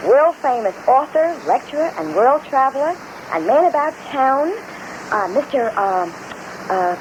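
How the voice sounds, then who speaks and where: A female announcer with a high voice makes a stage-style introduction. Her pitch moves widely, and the old broadcast has faint noise. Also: big pitch swings, unhurried, poor audio. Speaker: television announcer.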